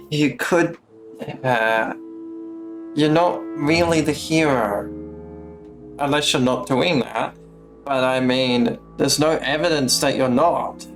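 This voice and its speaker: Slow-paced, blunt male game streamer. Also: mid-range voice. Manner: blunt, sweary. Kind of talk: casual live gameplay commentary.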